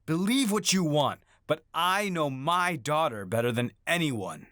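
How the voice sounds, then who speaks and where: A woman playing a gentle, earnest father in a horror radio drama. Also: low voice, lively intonation, a little slow, no room sound, studio quality. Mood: defensive. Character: gentle, protective father pleading with his daughter.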